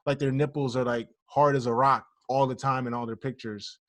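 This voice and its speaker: A man co-hosting a laid-back podcast, blunt and jokey, with curses dropped in freely. His voice sits at an ordinary middle pitch. The recording is close and dry, with no room sound around it. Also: little pitch movement, brisk, a bit bright, no echo. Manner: blunt, joking, swears freely. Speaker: podcast co-host. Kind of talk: casual podcast chat.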